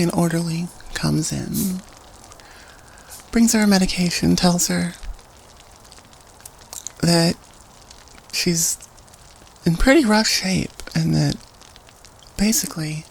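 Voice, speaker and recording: A woman playing a student who tells an eerie campfire story in a halting, conversational way, in an audio roleplay. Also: lowish voice, vocal fry, slight background noise, poor audio. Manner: halting, conversational, thoughtful phrasing.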